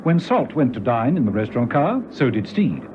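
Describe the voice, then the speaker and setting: A man's voice: mid-range voice, big pitch swings, a little fast, noisy background. A man narrating a vintage radio serial in a dramatic, scripted style. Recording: dull lo-fi old radio recording. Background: music.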